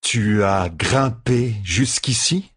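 Audiobook fantasy guard, a hard young woman, read by a man: husky, drawn-out, dry and scornful. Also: on the low side, dry recording, exaggerated voice. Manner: slow, deliberate, dry deadpan. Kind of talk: audiobook fantasy character dialogue.

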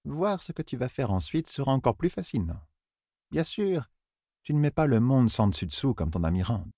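A man voicing a softly musing middle-aged sorceress who murmurs pensively. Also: mid-range voice, sing-song, normal speed, dry recording, clean studio sound, pitched above the performer's own voice.

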